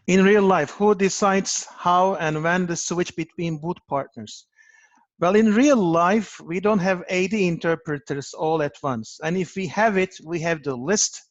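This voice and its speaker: A man's voice: fairly high pitch, gravelly, no echo. A man hosting and moderating an online event, speaking hesitantly and often repeating words. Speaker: event host. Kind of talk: moderating a video call. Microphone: video call. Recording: dull, dry video call audio.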